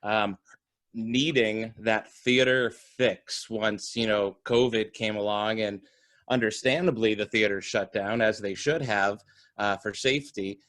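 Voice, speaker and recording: A man speaking conversationally and haltingly as a theater founder in an informal post-show talk. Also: fairly low pitch, fairly even intonation, fairly bright tone. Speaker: theater company founder and actor.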